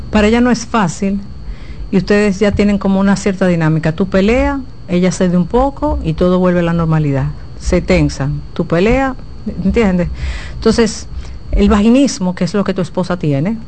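A woman's voice: mid-range voice, warm tone, slight background noise. A woman giving frank, explanatory advice as a guest sex therapist on a talk show.

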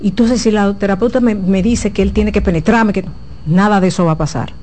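A woman's voice: mid-range voice, steady tone, a little fast, dark tone. A woman giving frank, explanatory advice as a guest sex therapist on a talk show.

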